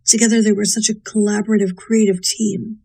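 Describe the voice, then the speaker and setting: A woman delivers a prepared spoken tribute. Her voice is husky and even, with little rise or fall, and her manner is measured and sincere. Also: medium pitch. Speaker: voice actor paying tribute.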